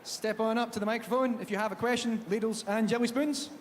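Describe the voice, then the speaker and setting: Scottish man hosting a lively panel. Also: high voice, quick pace. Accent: Glaswegian Scottish. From Scotland. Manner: jokey, animated storytelling. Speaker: panel host. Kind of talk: live panel chat. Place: large theatre stage. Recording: echoey hall, background noise.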